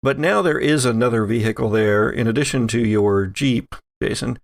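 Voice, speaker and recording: Halting male game master, close dry sound. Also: mid-range voice, gravelly, no room sound. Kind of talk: narrating a tabletop roleplaying game, conversational.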